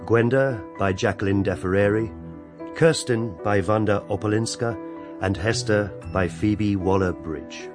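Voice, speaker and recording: A man making a scripted broadcast announcement, reading cast credits. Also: on the low side. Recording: music under the voice.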